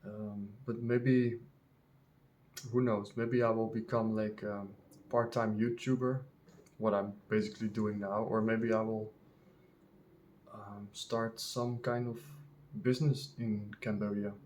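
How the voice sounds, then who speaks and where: A Dutch man in his thirties, a native Dutch speaker talking in English, vlogging in a casual unscripted monologue full of ums, pauses and restarts. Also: fairly low pitch, level tone, darkish tone, slight background noise. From Netherlands. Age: 30s. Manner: halting, many ums and restarts.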